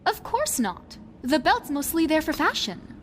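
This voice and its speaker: A woman's voice: high-pitched, very animated, a little slow, faint music behind. A woman playing a vain, fashion-loving young socialite in an audio drama, with an affected, haughty, teasing manner. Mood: flustered. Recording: faint background noise.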